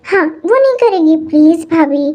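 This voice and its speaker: Woman doing a soft-hearted coaxing aunt. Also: extremely high pitch, animated, moderate pace. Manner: coaxing, pleading.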